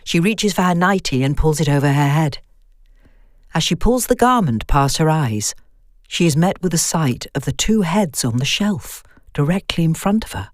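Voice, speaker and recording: Low-voiced woman narrating horror. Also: animated, normal speed, no echo, clean studio sound. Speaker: story narrator. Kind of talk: scripted horror story narration.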